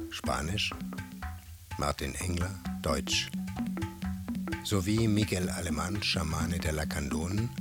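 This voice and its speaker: A man reads a scripted announcement as a radio drama announcer, in a measured way. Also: low-pitched. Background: music with wooden percussion.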